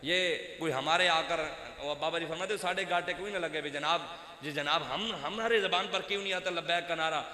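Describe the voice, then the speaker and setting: Punjabi-accented male preacher, impassioned, slightly high and sharp, echoey hall. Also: brisk. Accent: Punjabi-accented Urdu. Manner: impassioned, rising to loud outbursts then dropping low. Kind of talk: fiery sermon. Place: outdoor religious gathering with loudspeakers. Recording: echoey hall with long reverb.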